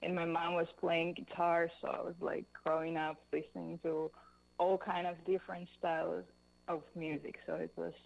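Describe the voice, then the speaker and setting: A woman's voice: fairly low pitch, little pitch movement, moderate pace, gravelly, rough recording. A woman from Russia, a musician guest answering interview questions in an easygoing way full of "like" and "you know". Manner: easygoing, lots of fillers like "like" and "you know", laughs easily.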